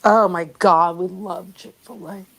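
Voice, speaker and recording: A woman's voice: lowish voice, fairly even intonation, measured pace, a bit bright. A woman talking casually and bluntly as a co-host on a live stream, unfiltered in her way of speaking. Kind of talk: casual chat.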